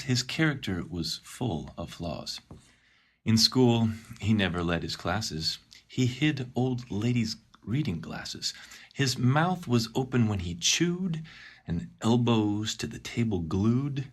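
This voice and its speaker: A man playing a theatrical storybook narrator, reading comic Christmas verse in a measured, emphatic, sing-song rhythm. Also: mid-range voice. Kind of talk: dramatic poetry reading.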